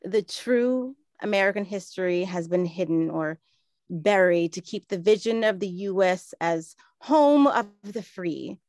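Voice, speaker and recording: An earnest woman scholar in a radio drama, played by an actress, with a middling pitch. She is measured and instructive, in a dry recording with no room sound. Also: animated, darkish tone, no room sound. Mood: grave, earnest.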